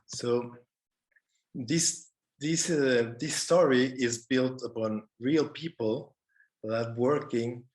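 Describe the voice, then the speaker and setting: Slow-speaking man on a panel. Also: medium pitch, low-quality recording. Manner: earnest, halting with repeated words. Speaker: panelist, conservation worker. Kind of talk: prepared but informal panel talk. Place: online video call.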